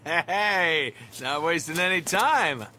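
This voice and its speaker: A man's voice: fairly high pitch, lively intonation, takes it slowly, very noisy. A man playing a cocky, wisecracking young guard in a video game cutscene, with a teasing, sardonic manner. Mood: brash, amused. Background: music.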